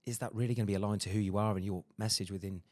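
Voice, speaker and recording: A man hosting a podcast interview, talking quickly and emphatically with many fillers and restarts. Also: on the low side, steady tone, darkish tone, dry recording, studio quality. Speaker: podcast host. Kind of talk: casual interview chat.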